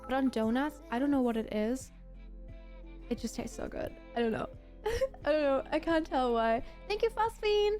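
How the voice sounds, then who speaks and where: A woman's voice: sits high, lively intonation, normal speed, long pauses, over music. A woman from Germany, a native German speaker, livestreaming in a casual chat with viewers, gushing and emphatic and often repeating herself. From Germany, grew up in Berlin.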